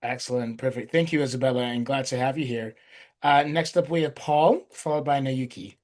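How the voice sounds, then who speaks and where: A man chairing an online workshop over a video call, calling on speakers in turn in a brisk, courteous way. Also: medium pitch, darkish tone. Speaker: meeting facilitator.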